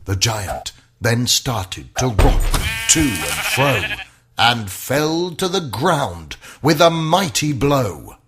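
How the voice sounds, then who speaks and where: Male narrator for kids' bedtime tale, theatrical rhyming read, very lively pitch, slightly slow. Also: background noise. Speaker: storyteller. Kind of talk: rhyming scripted children's bedtime story narration.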